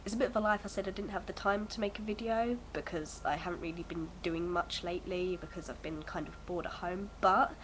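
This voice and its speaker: A woman's voice: on the low side, a little fast, hardly any pauses, slight background noise, rough recording. A young woman in her early twenties, bunged up with a cold, chatting at home to her vlog camera in a rambling, hesitant way full of fillers. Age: early 20s. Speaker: vlogger. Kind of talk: casual chat to camera.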